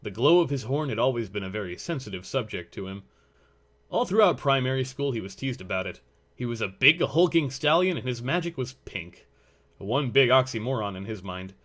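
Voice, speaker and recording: Man narrating a story at medium pitch. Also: lively intonation, fairly quick, fairly bright tone. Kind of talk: scripted fan fiction story narration.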